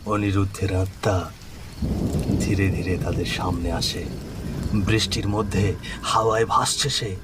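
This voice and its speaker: Male narrator, slightly low pitch, dramatic scripted horror storytelling, noisy insect ambience. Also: steady tone, measured pace, pauses often.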